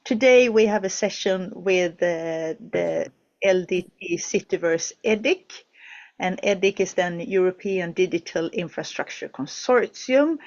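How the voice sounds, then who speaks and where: A woman's voice: fairly low pitch, muffled recording. A woman hosting an online project session from a studio, talking hesitantly with many fillers and restarts. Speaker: webinar host.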